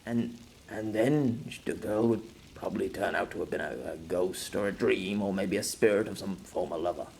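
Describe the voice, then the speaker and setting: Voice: low voice, moderate pace, vocal fry, a little mellow, slight background noise, pitched below the performer's own voice. A narrator voicing a lonely, stubborn male writer who speaks desperately in an audiobook. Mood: anguished. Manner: desperate, insistent. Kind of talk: audiobook character voice.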